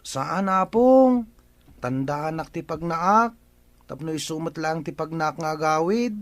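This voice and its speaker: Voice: medium pitch, animated, unhurried, no echo, lightly acted. A performer with an Ilocano accent playing a young village boy in a radio drama, respectful and eager to help. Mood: earnest. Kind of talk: comedy radio drama acting.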